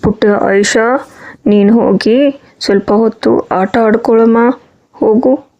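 A woman voicing a patient, soothing father in a children's cartoon story, speaking in a gentle, explanatory, coaxing way. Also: a little high, fairly even intonation, slow pace, poor audio.

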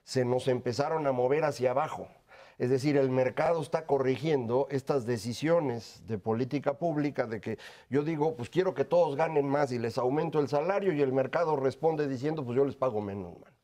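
Mature male commentator, fifties, Mexican accent, soft-edged warm timbre, assertive studio panel delivery. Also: medium pitch, a little fast. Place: television studio panel desk. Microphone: lapel.

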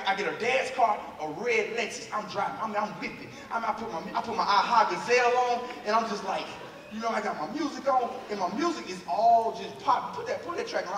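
A man's voice: high-pitched, a bit bright. An American man in his thirties telling a comic story to an audience with energy, acting out dialogue and repeating phrases. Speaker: speaker at a church youth night. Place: large auditorium stage, picked up from the audience off a big screen. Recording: echoey hall, distant mic, faint noise.

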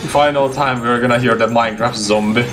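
A man's voice: mid-range voice, fairly even intonation, fairly quick. A young man livestreaming a game, chatting casually with his viewers as he plays. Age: young adult. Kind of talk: casual gaming stream commentary. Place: indoor room, streaming setup.

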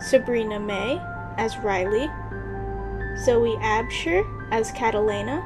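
A woman's voice: fairly high pitch, slow pace. A woman announcer reading scripted credits. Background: prominent music.

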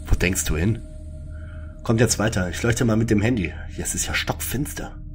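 A man's voice: on the low side, normal speed, faint music behind, close to an everyday voice. A man playing a practical, eager and wry young detective sidekick in a parody audio drama. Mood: brisk, practical.

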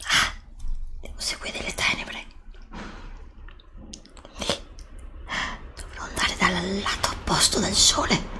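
A woman playing an earnest, bewildered chosen heroine in an ASMR roleplay, breathy and questioning, close to the microphone. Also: medium pitch, slow, long pauses, natural voice. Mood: bewildered, anxious. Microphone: close desktop USB microphone.

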